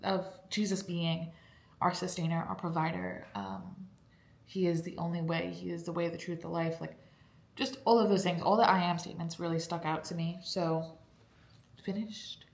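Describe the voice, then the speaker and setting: A woman's voice: on the low side, normal speed, gravelly, roomy sound. A young woman chatting casually in a vlog about her reading, rambling with lots of fillers and sounding congested. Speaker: book vlogger. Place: cold basement room at home.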